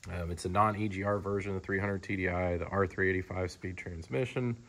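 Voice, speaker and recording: This is a man with a low-pitched voice that often drops into a gravelly fry. He talks like a dealer showing off a vehicle on camera, informal and unscripted. His delivery is matter-of-fact and rambles a little from one point to the next. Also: steady tone, brisk, fairly bright tone. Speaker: car dealer presenting a vehicle. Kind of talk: casual off-the-cuff sales walkaround. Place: large indoor car showroom. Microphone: handheld camera, speaker behind it.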